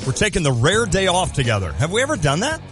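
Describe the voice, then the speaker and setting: Male radio co-host, playful banter, animated delivery, faint music behind. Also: on the high side, fast. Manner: playful, jokey, sings bits.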